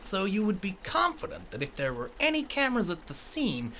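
A woman's voice: medium pitch, wildly swooping pitch, a little fast, fairly bright tone, slight background noise. A woman playing a formal, pressing courtroom lawyer in a comedy sketch. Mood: formal, probing.

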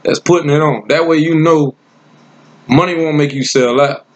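A man's voice: a little high, steady tone, moderate pace, highs cut off. A man from South Carolina, talking bluntly and profanely in a casual podcast chat. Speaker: podcast co-host.

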